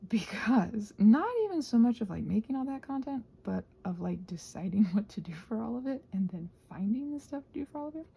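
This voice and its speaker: Soft husky woman with a very creaky voice. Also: a little high, animated, fairly quick, hardly any pauses. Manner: rambling, thinking aloud, trailing off mid-thought. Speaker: video host and ASMR creator. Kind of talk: casual rambling craft chat.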